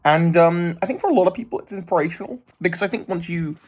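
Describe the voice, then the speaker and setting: A man's voice: high-pitched, fast talker, darkish tone, breathy edge. A man in his thirties talking to camera in a thoughtful, rambling way, with many fillers and restarts. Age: 30s. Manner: thoughtful and earnest. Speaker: vlogger. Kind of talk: reflective casual monologue.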